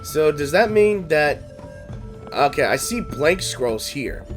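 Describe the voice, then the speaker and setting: A man's voice: on the high side, sing-song, slow. A man giving casual, playful game playthrough commentary, thinking aloud and reacting, with game music behind. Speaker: gaming streamer.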